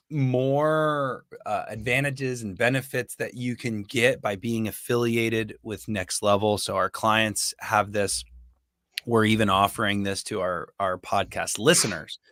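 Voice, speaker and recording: Hoarse dude hosting a podcast, sharp bright voice, super dry mic, says dude a lot. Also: male voice, mid-range voice, no echo. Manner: laid-back, joking, says dude a lot. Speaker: podcast host. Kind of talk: casual interview chat.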